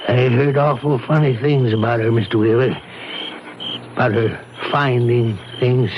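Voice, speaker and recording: A man playing a simple, fearful rustic cabbie and hired killer, halting and plain-spoken, in a radio drama. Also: mid-range voice, fairly even intonation, lightly acted. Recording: noisy old radio drama recording.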